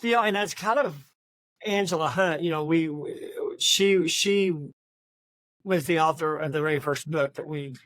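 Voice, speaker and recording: A woman's voice: deep voice, a little slow, dry recording. A woman co-hosting a podcast, talking casually and conversationally about books. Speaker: podcast co-host. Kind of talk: casual book discussion.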